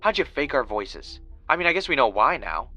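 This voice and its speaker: An actor playing a defensive, easily riled podcaster and former reality show winner, insistent and argumentative in a natural conversational audio drama style. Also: male voice, medium pitch, very animated, a little fast, pushed and bright, soft background music. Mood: accusing, angry.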